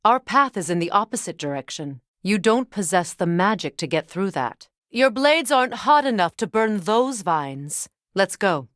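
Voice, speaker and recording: A woman's voice: mid-range voice, big pitch swings, moderate pace, hardly any pauses, dry recording, clean studio sound. An actress playing a stern, proud warrior goddess, a battle-hardened mother with a commanding, curt manner, in a fantasy video game. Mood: brisk, guiding. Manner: commanding, curt, sharp-tongued. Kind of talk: dramatic fantasy game dialogue.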